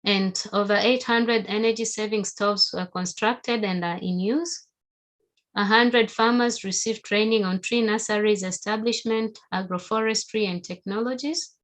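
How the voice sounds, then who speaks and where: A woman from Tanzania, with Haika as her first language, giving a steady, explanatory webinar presentation over a video call. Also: mid-range voice, moderate pace. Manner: steady, explanatory, with occasional fillers.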